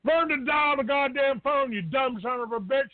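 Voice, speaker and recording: A man's voice: very high-pitched, moderate pace, dry recording. A man hosting a live call-in show in an abrasive, combative, foul-mouthed way. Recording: tinny phone-grade dry audio.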